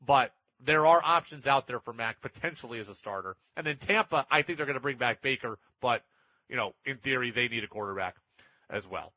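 A man's voice: medium pitch, a little fast, pushed and bright, dry recording, lo-fi sound. A man hosting sports talk radio, giving opinionated commentary in an emphatic way and repeating points for effect.

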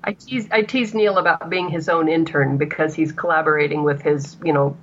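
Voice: medium pitch, fairly even intonation, fairly quick, a bit bright, muffled recording. An interview guest chatting casually about shared creative work.

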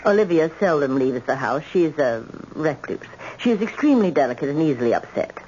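A woman's voice: sits low, lively intonation, brisk, a little mellow. An actress playing a haughty, embittered old grandmother with a formal, cutting way of talking in a radio drama. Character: embittered, imperious old grandmother, cold and vengeful. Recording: dull, noisy old radio broadcast.

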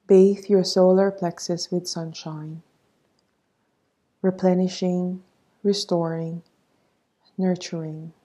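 A woman leading a guided meditation in a soft, breathy voice, soothing and measured as she gives instructions. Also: lowish voice, flat delivery.

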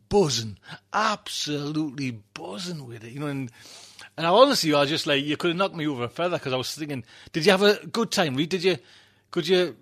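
Higher-pitched man chatting. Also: fairly bright tone. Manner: effusive, rambling, repeats himself for emphasis. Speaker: podcast host. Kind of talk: casual unscripted chat.

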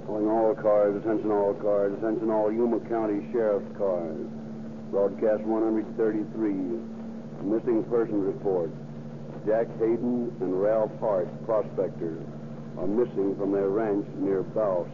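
An actor playing a flat, official police dispatcher reading a clipped bulletin over the radio in a 1930s broadcast. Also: male voice, fairly low pitch, unhurried. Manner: clipped, monotone announcing. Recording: noisy, phone-like old radio recording.

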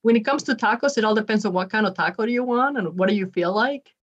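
A woman's voice: fairly high pitch, quick pace, muffled recording. A woman in her forties from Ecuador, a nonprofit leader chatting as a podcast guest, upbeat with frequent ums and restarts. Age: 40s. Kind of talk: podcast interview.

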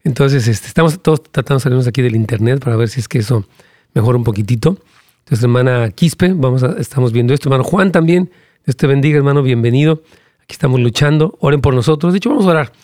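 A male pastor of middling pitch leads prayer with fervent, rhythmic repetitions. His voice lifts noticeably when he argues. The sound is dry and close, with no room echo. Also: fast talker. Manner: animated, rising pitch when arguing a point. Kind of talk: live-streamed sermon and prayer with Q&A.

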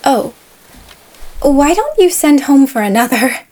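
A woman's voice: sits high, sing-song, unhurried, a little mellow. A woman playing a fashionable, rich young society girl in a period audio play, gushing, coaxing and a touch patronising.

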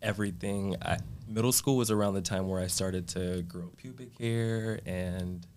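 A man in his twenties speaking as a podcast guest, relaxed and matter-of-fact. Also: deep voice, normal speed, slight background noise. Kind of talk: casual chat.

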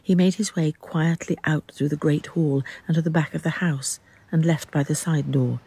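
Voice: mid-range voice, fairly even intonation, moderate pace, vocal fry, warm tone, slightly rough, no room sound. A narrator telling a radio drama in scripted, dramatic storytelling style.

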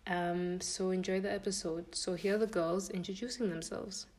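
A woman's voice: lowish voice, fairly even intonation, normal speed, a little mellow. A young woman hosting a podcast, talking casually and thinking aloud in a string of questions. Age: young adult. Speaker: podcast host.

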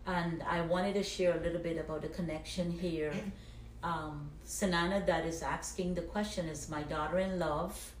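A middle-aged woman, sharing her background as a guest in a measured way. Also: on the low side, fairly even intonation, recorded from a distance, a little hiss or hum. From Guyana. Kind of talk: personal faith testimony. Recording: echoey room, off-mic.

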